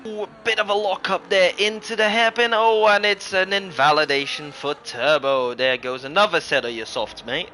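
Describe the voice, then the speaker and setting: A man's voice: high-pitched, steady tone, normal speed, hardly any pauses, sharp tone, slight background noise. A man from Europe giving casual live sim racing commentary as a livestream host, mixing it with jokey, mock-indignant banter.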